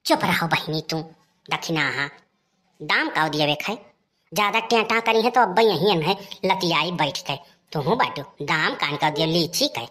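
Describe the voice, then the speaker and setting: A woman's voice: lowish voice, expressive, normal speed, fairly bright tone. A woman playing a brazen, combative elder village sister in a comedy cartoon, earthy and blustering, with an Awadhi accent. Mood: defiant, threatening. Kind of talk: rustic comedy cartoon dialogue.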